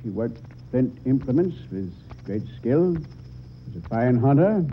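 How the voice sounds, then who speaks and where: A man's voice: mid-range voice, very animated, unhurried. An actor playing a learned male doctor in an old-time radio drama, lecturing precisely about his field. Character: learned male doctor, expert on ancient human bones. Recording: muffled, noisy old radio recording.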